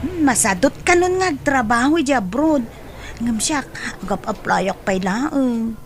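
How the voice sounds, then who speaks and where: A woman's voice: on the high side, measured pace. A woman playing an envious, hard-up younger cousin in a comedy drama, chatty, pleading and exclamatory, with faint noise behind her. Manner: exclamatory, expressive. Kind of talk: Ilocano comedy drama acting.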